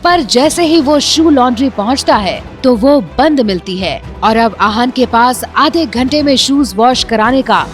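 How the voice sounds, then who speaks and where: A woman's voice: on the high side, animated, measured pace, hardly any pauses, faint music behind. A woman narrating a scripted audio drama in a dramatic storytelling manner.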